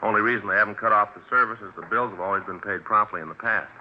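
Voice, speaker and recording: A man's voice: on the low side, very fast, close to an everyday voice. An American man playing an easygoing, plainspoken police detective in a 1950s radio drama, with a conversational manner. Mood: matter-of-fact. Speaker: police officer. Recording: dull, slightly noisy 1950s radio recording.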